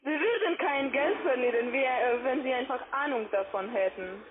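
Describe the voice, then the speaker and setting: A woman with a high voice and a foreign accent argues in non-native German. She is on a narrow phone line with plenty of chatter behind her. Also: moderate pace. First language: not German. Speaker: call centre agent.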